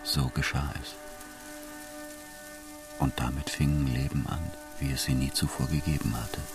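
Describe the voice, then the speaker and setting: Very deep, gravelly male narrator. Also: level tone, moderate pace. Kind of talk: scripted literary radio drama narration. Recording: loud music behind voice.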